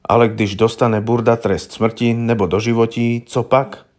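A man's voice: medium pitch, little pitch movement, a little mellow, lightly acted. A man voicing a polite, candid police investigator in an audiobook, asking questions in a deferential, coaxing, colloquial way. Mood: earnest. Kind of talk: audiobook character voice.

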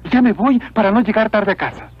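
Humble, husky-voiced young villager. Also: male voice, high voice, fairly quick. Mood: earnest, cheerful. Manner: deferential, earnest, polite. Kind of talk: dubbed cartoon acting. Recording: muffled lo-fi old cartoon soundtrack.

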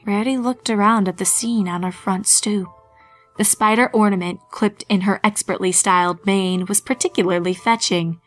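A woman narrates a grim horror tale as a scripted reading. Her voice sits at a middling pitch, with music playing underneath. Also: fairly even intonation, moderate pace, fairly bright tone. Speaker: fan fiction reader and narrator. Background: background music.

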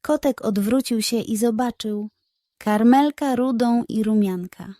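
A woman reads a children's bedtime story at a slow, unhurried pace. Her voice is fairly high, and the recording is dry and close. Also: warm tone, no room sound.

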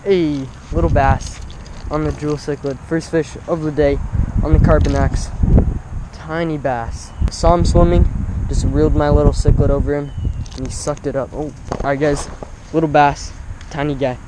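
A young man commenting live on his fishing outdoors by a pond, breaking into excited exclamations with every catch. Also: on the high side, moderate pace, very noisy. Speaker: fishing vlogger.

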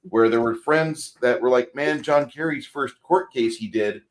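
A man's voice: mid-range voice, unhurried. A man co-hosting a casual movie review over a video call, telling long rambling stories with wry humor. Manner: rambling storyteller, wry, hesitant with fillers. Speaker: co-host.

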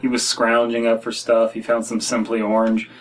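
An American man with a mid-pitched voice reads stories aloud in a loose, casual way. He often stumbles and wanders into asides. He sounds a little way back from the microphone in a room. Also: completely flat delivery, moderate pace. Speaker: podcast host reading stories aloud. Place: small room at home, indoors. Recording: distant mic in a room.

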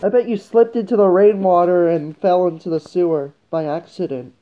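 A man redubs a film scene for comedy in a blunt, irreverent way. His wisecracks are deadpan, and the sound is dull and muffled like a home recording. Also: high voice, moderate pace. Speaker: parody voice-over performer.

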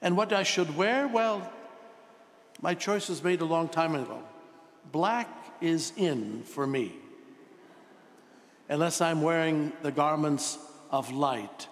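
Older guy in his 60s preaching as a priest, kinda higher voice, big church echo, pauses a lot for emphasis. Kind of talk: sermon. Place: large church, indoors at the altar. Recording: long reverberant church echo.

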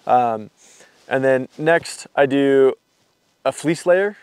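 A man's voice: mid-range voice, takes it slowly, clear, resonant tone, no echo. A young man casually walking through his hiking gear on camera, rambling and loose, with lots of fillers. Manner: rambling, filler-heavy, relaxed explaining. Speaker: hiking vlogger. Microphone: camera mic.

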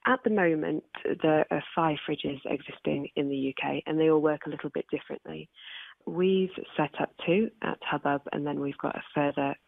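British woman being interviewed over a tinny phone line, bit of vocal fry, measured explainer. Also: deep voice, dry recording. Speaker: spokesperson for an environmental charity, interviewee. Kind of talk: radio interview, explanatory talk.

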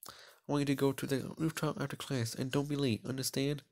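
A man voicing a bossy, secretive teenage anime schoolgirl in a visual novel, insistent and hushed. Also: mid-range voice, level tone, brisk, voice pushed up, close to an everyday voice.

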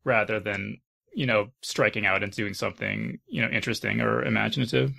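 A man's voice: lowish voice, steady tone, a little fast, creaky, sharp tone. A man hosting a casual discussion in a conversational way. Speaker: host.

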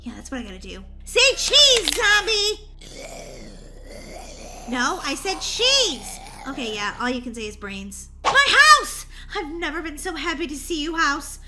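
A woman voicing an excitable, scatterbrained schoolgirl in a children's story, with a stammering, dramatic delivery. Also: sits high, a little fast, few pauses, background noise. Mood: excited. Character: excitable, scatterbrained schoolgirl who fears zombies. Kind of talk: children's roleplay story acting.

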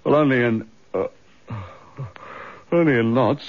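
An actor with a British accent playing a tired, dogged chief inspector in a radio drama, hesitant, understated and wry. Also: male voice, mid-range voice, measured pace, close to an everyday voice. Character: weary, dogged male police chief inspector, dryly affable. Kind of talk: naturalistic radio drama acting. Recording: dull, lo-fi radio recording.

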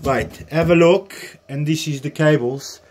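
A man giving an informal hands-on demonstration as a tutorial host, speaking in a halting, hesitant way. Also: a little high, fairly even intonation, a little mellow. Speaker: host of a hobby radio tutorial. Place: small indoor workbench area.

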